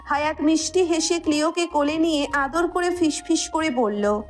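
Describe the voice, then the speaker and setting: A woman's voice: high-pitched, moderate pace, soft background music. A woman with a Bangladeshi accent narrating a scripted romantic audio story.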